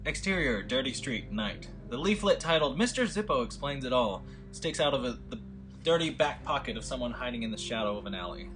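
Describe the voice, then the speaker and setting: A man runs a remote table read, chatting in a loose, rambling way full of fillers and reading stage directions. His voice sits at a middling pitch. Faint score music plays behind him over the video call. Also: animated, a little fast. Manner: rambling, filler-heavy, offhand. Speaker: writer and host of the table read. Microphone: video call with desktop audio.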